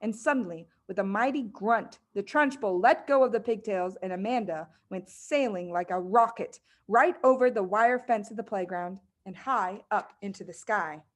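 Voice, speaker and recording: A woman reading a children's book aloud as narrator, in an expressive storytelling style. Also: mid-range voice, normal speed, hardly any pauses. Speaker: audiobook reader.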